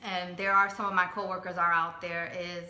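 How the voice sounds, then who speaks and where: A woman in her forties, raised in Connecticut with Spanish as her first language, chatting casually as a co-host in an unhurried, thoughtful way. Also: on the low side, level tone. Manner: soft-spoken, unhurried, thoughtful with frequent fillers. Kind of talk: casual personal talk. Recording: echoey room.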